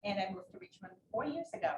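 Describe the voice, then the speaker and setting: A woman from El Salvador, raised in New York, introduces herself on a panel warmly and with liveliness. Her pitch is ordinary. She is heard from afar in a roomy hall, with faint background noise. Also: animated, quick pace, distant mic. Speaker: panelist, food bank worker.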